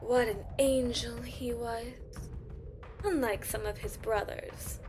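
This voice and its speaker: A woman's voice: fairly high pitch, fairly even intonation, slow. A woman playing a haughty, solemn judge of mythic beings in a fantasy audio drama, speaking formally. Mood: scornful reverence. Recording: loud music under the voice.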